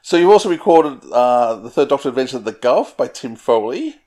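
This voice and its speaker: A man's voice: mid-range voice, sing-song, fairly quick, a little mellow. A man interviewing a guest in a casual, conversational and slightly hesitant way. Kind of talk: casual interview questions and commentary.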